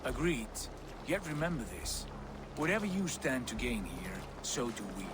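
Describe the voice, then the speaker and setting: An actor playing a steady, diplomatic Viking clan leader in a video game cutscene, composed and reassuring. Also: male voice, mid-range voice, normal speed, background noise, close to an everyday voice. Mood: firm.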